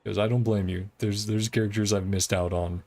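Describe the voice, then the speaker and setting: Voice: deep voice, steady tone, brisk, a little mellow. A game streamer chatting casually over gameplay in an easygoing, encouraging way, often laughing while talking. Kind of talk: casual livestream gameplay commentary and chat.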